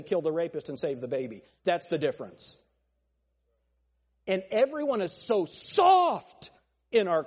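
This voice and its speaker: A male pastor preaching a fiery sermon with a thin, nasal voice, pressing his points with forceful, repeated phrases. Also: high voice, breathy edge, big-room sound. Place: church sanctuary.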